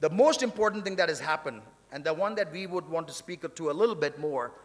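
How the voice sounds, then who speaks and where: A man addresses a conference from a podium. His voice sits a little high, and the big hall rings around it. He talks conversationally but leans on his points, repeating phrases to drive them home. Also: expressive, fast. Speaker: session chair and speaker at a technology summit. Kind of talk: conference speech. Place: large indoor conference hall, on stage. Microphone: podium gooseneck microphones. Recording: reverberant hall sound through podium microphone.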